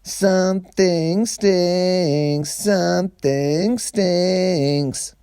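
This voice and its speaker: A man voicing a mean, popular young schoolgirl in a storybook, taunting in a drawn-out sing-song. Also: high-pitched, dark tone, smooth, dry recording, studio quality, voice pushed up, full character voice. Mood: mocking. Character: mean, popular thirteen-year-old school girl mocking a classmate.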